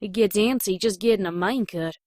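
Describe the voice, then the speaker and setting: A woman's voice: mid-range voice, a little fast, a little mellow, no room sound, studio quality, lightly acted. A woman with a Southern US accent voicing a plainspoken, protective young farm mare in an audio drama. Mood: calm explaining. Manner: folksy, plainspoken, soothing. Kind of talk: scripted audio drama character acting.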